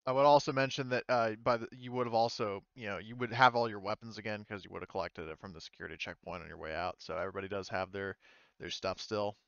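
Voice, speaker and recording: Guy running a tabletop rpg, clean resonant voice, kinda halting, loose n informal, muffled dry sound. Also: on the low side, steady tone, creaky, fairly bright tone, clean tone, no echo. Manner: halting, informal, mild swearing. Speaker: game master. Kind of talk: casual tabletop game narration.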